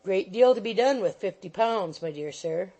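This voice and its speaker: Vrouw die een kleine, bedrijvige advocaat speelt, vleiend. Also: female voice, mid-range voice, lively intonation, moderate pace, dark tone. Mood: wheedling. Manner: coaxing, repeats "my dear sir". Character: fussy, bustling little male attorney, ingratiating.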